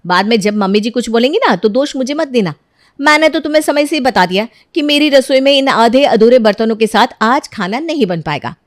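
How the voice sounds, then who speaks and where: A woman voicing a careless, defensive young wife in an animated story. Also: fairly high pitch, fairly quick, no echo, clean studio sound, lightly acted. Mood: defiant. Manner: defensive, argumentative.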